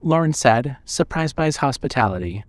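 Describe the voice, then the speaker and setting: A man's voice: medium pitch, expressive, normal speed, no room sound. A man narrating a scripted story as the narrator of an audio drama.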